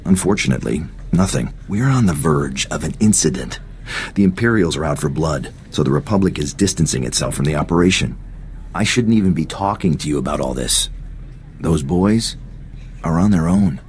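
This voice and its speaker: A man's voice: extremely low pitch, lively intonation, moderate pace, a little mellow, a little hiss or hum. An actor playing a worldly, laid-back male intelligence agent in a video game, talking in a casual, frank way. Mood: frank, resigned. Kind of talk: scripted video game cutscene acting.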